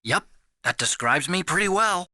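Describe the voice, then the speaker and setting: High, bright, nerdy hacker. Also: male voice, expressive, moderate pace, no echo, clean studio sound, full character voice. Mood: earnest. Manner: eager, explanatory. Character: nerdy, excitable male computer hacker, earnest and boastful. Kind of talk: dramatic video game voice acting.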